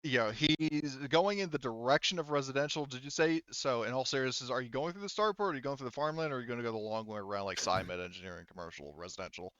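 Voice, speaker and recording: Halting, bright-voiced man running a tabletop game. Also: mid-range voice, clear, resonant tone, no room sound, lo-fi sound. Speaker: game master.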